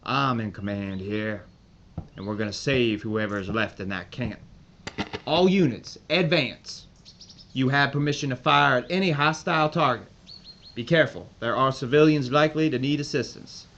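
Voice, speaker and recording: A man's voice: mid-range voice, moderate pace, a bit bright, natural voice. Male actor as determined army major, commanding, clipped, military audio drama, dull recording. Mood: defiant, determined. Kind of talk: World War II audio drama acting.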